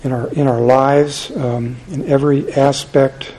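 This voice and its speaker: A soft-spoken man teaching a church Bible class and leading a prayer, halting with frequent fillers. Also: medium pitch, flat delivery.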